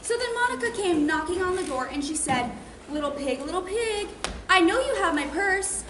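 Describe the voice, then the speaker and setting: A very high, lively girl's voice acted by a young woman rings out in an echoey, noisy theater. She is theatrical and sarcastic, full of indignant menace in a school stage monologue. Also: moderate pace. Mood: mock-sweet, menacing. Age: teenager or young adult. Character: indignant, sarcastic teenage girl menacing suspected purse thieves.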